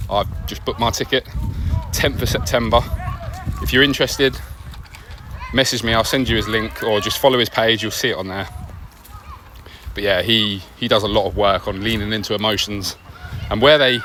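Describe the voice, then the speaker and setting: A man giving an earnest, reflective podcast monologue on an outdoor walk, speaking conversationally in short phrases with pauses between them. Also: on the low side, steady tone, bright, forceful tone. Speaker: podcast host. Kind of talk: reflective personal monologue while walking a dog. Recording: noisy outdoor recording with nature ambience.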